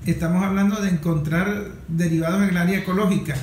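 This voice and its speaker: Male Venezuelan interview host, conversational, high voice, faint noise behind. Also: fairly even intonation, quick pace, darkish tone. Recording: echoey room, faint noise.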